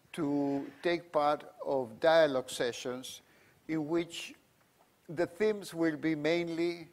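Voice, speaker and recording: A man with as his presents at a conference in a somewhat raised voice. He stops often, filling the gaps with ums and restarting his sentences. His English is careful and deliberate. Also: fairly high pitch. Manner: halting, many fillers and restarts. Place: conference room.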